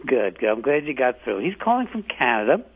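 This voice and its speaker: An older man hosting a radio show from home, hoarse from the flu, rambling with fillers and restarts. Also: medium pitch, big pitch swings, brisk. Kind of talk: casual talk radio monologue. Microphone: home setup, not his usual studio mic. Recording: tinny phone-like line.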